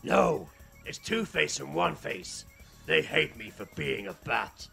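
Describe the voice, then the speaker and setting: Brooding male superhero, acted by a man, parody radio drama, solemn mock-heroic declarations, gravelly. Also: fairly high pitch, animated, a little slow, pushed and bright, soft background music, heavily acted. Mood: grim, solemn. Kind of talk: parody radio play acting.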